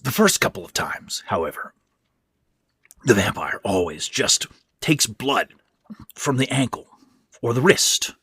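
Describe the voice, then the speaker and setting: A man acts out a sick, worn-out old tyrant from a horror story, husky and dry, swinging from hisses to growls. He is irritable and commanding, leaning hard on his words with wide, surging pitch. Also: fairly bright tone, dry recording, exaggerated voice. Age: older. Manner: hissing, growling, emphatic, commanding. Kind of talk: dramatic horror fiction reading.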